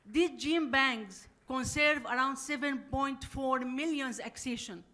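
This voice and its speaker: A woman from Syria with a Syrian Arabic accent giving a scientific conference presentation in halting non-native English. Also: high-pitched, sharp tone. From Aleppo, Syria. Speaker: conference speaker, plant virologist.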